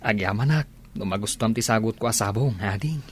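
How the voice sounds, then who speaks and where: A man's voice: lowish voice, a little fast, slight background noise, close to an everyday voice. A man with an Ilocano accent playing an earnest young village suitor in a radio comedy drama. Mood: courting. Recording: music behind the voice.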